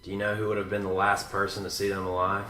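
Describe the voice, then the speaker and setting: An actor playing a cynical, sarcastic big-city detective in a radio drama, blunt and skeptical. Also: male voice, sits low, monotone, quick pace, sharp tone, a little hiss or hum. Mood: probing, skeptical. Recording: roomy echo with music behind. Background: music.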